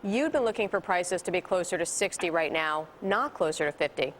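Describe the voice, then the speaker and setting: A woman's voice: fairly low pitch, expressive, brisk, slight background noise. A woman presenting live financial news and interviews as a broadcast anchor.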